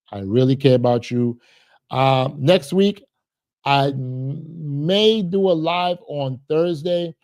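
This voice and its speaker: A man's voice: mid-range voice, mellow, dry recording. Earnest man chatting on a livestream. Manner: repetitive, earnest, many restarts and thank-yous. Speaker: livestream host. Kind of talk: casual livestream chat with viewers.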